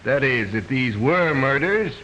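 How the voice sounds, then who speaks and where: A glib, inquisitive hotel guest from a vintage mystery film. His mid-pitched voice swings freely as he needles and teases. The soundtrack is dull. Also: expressive, a little slow, bright, forceful tone, a little hiss or hum. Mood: needling, curious. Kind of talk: 1930s-40s mystery film acting.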